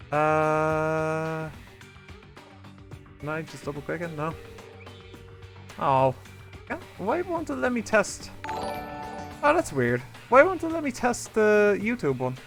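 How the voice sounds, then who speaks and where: A man's voice: fairly high pitch, sing-song, slow, long pauses. A man streaming a game, chatting casually and rambling as he talks to his viewers. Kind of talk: casual let's play commentary. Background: game music.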